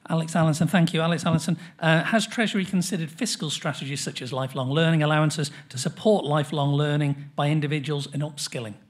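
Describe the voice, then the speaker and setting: A middle-aged man moderating a conference panel from a lectern, reading out audience questions and pushing to beat the clock. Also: on the high side. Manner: brisk, reads audience questions, hurried by the clock. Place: large conference hall. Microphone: lectern microphone.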